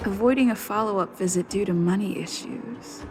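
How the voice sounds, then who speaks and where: A woman's voice: medium pitch, normal speed, over music, lightly acted. A woman voicing a composed young female adventurer thinking aloud in a video game. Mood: pensive.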